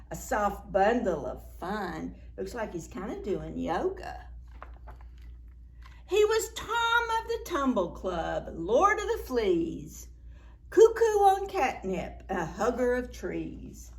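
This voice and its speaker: Animated woman reading aloud to preschoolers. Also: medium pitch. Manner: expressive, with asides and questions to young listeners. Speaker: children's librarian, story time host. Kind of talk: read-aloud picture book storytelling for preschoolers.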